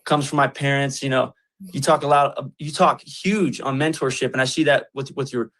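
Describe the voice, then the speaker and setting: A man in his twenties from Colorado, speaking earnestly in casual conversation with halting restarts and many fillers. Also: mid-range voice, steady tone, a bit bright. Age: 20s. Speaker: guest, community founder.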